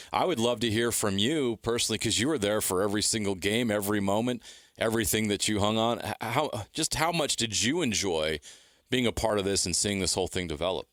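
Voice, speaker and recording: Male sports radio cohost, lowish voice, asking stuff casually, really dry room. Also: a bit bright, no room sound. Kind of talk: casual interviewing.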